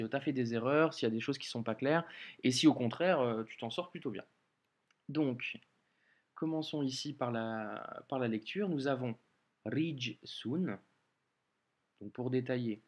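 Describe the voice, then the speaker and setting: Patient man teaching letters, hesitant. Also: medium pitch. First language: French. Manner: patient, explains slowly, many hesitations and "euh". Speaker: Arabic reading teacher. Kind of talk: online video lesson.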